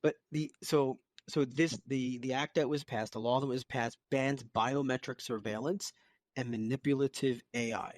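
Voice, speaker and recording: A man's voice: mid-range voice, steady tone, normal speed, no echo. An American man co-hosting a podcast, going through the news in an informal, conversational way. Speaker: podcast co-host. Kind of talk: casual news discussion.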